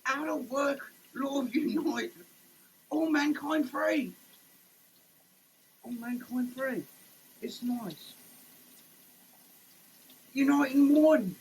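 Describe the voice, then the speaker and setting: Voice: high voice, very slow, long pauses. A performer giving a comedic dramatic reading of label text, theatrical, moving between declaiming and muttered asides, choking as water soaks them. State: choking, coughing, gasping while being soaked with water. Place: shower, indoors. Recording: noisy room with running shower water.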